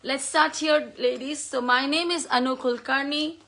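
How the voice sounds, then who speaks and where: A woman in her fifties from India, whose first language is Hindi, talking earnestly on a live stream, often pausing on um and uh. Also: high voice, steady tone, vocal fry, bright, forceful tone. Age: 50s. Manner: earnest and thankful, with frequent ums and uhs. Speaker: host of a live talk. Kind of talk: personal talk on a social media live stream. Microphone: phone or computer mic on a live stream.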